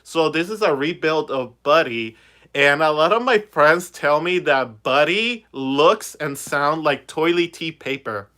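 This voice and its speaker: Chatty young man with a bright voice. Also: fairly high pitch, lively intonation, a little slow. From Puerto Rico. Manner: chatty, rambling, many fillers. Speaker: puppeteer vlogger. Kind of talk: casual livestream chat. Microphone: phone on a ring light.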